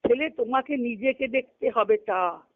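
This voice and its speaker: Lady explaining a spiritual reading, talks slowly and rephrases stuff, tinny conference call phone audio. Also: on the high side. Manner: explanatory, repeats and rephrases phrases. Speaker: spiritual discussion leader.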